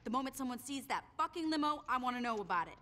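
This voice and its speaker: An actress playing a tough, foul-mouthed gang boss in a video game cutscene, blunt, sarcastic and commanding. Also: female voice, high voice, animated, quick pace, sharp tone, slight background noise.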